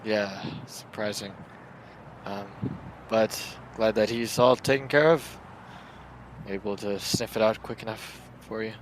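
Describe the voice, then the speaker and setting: A man's voice: fairly low pitch, steady tone, measured pace, slight background noise, close to an everyday voice, rough recording. A man playing a subdued young getaway driver from a crime family in a tabletop game, casual and hesitant.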